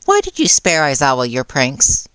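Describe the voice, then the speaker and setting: A man voicing a clever, courteous animal school principal who speaks in a polite, measured, curious way. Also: medium pitch, wildly swooping pitch, fairly bright tone, clear, resonant tone, no room sound, voice pushed up.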